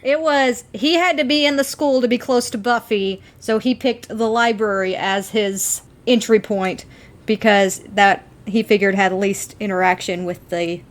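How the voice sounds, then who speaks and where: A woman's voice: medium pitch, fairly even intonation, unhurried. A woman co-hosting a podcast, talking casually and emphatically as an enthusiastic fan. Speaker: podcast co-host.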